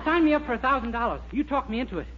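A man's voice: extremely high pitch, expressive, very fast, a loud crowd. A man playing a naive, eager young store clerk with earnest, innocent comic timing in a 1940s radio sitcom. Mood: breezy, confident. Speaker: comic actor. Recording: muffled, very noisy 1940s radio recording.

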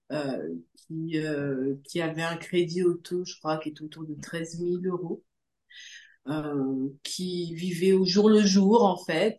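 A woman's voice: lowish voice, a little mellow. A woman in her forties giving a conversational personal testimony as a podcast guest, repeating phrases for emphasis. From Guadeloupe. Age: 40s. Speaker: podcast guest, coaching client. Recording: roomy, echoing sound.

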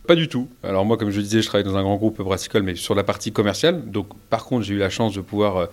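A man's voice: on the low side, quick pace. A man from Paris, a brewery co-founder, answering interview questions casually with many fillers and restarts.

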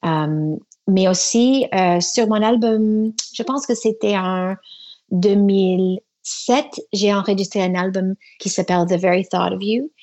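A Canadian woman with an English-Canadian accent talks unhurriedly in French that is not her first language. She stops often and searches for words, with plenty of fillers. Her voice sits at an ordinary middle pitch. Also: darkish tone. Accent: English-speaking Canadian. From Canada (Toronto). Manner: halting French with many pauses and fillers. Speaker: guest singer. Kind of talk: phone or video interview. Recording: remote line from Quebec City.

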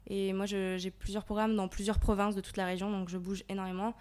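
A woman's voice: mid-range voice, level tone, a little fast, a little mellow, clean tone. Woman in her twenties, from the Paris region, thoughtful, explains at length. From Paris region, France. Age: 20s. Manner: thoughtful, explanatory, frequent fillers. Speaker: guest, volunteer. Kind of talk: interview answers.

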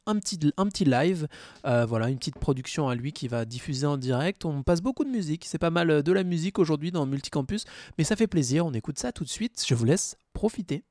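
A man's voice: a little high, expressive, a little mellow, no room sound, clean studio sound. A man presenting a radio show, casually linking to the next segment. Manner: casual, quick links between segments.

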